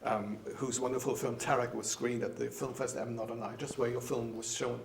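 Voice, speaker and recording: Male interviewer, mid-pitched, asks friendly, plain questions. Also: little pitch movement, a little fast, gravelly, slight background noise.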